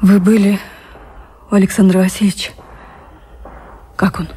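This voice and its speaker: An actress playing an anxious, devoted gentlewoman in love, speaking earnestly in refined, wistful radio drama style. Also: medium pitch, little pitch movement, normal speed, natural voice. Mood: worried. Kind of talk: Russian radio drama acting.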